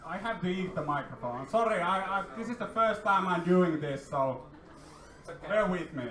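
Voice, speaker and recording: A Finnish-accented man speaks in a high voice, giving an informal, personal talk. His delivery is hesitant and self-deprecating, with repeated words. He sounds distant in an echoey venue with crowd noise. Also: animated, moderate pace, a bit bright. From Finland. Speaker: guest speaker, indie game developer. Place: meetup venue with live audience.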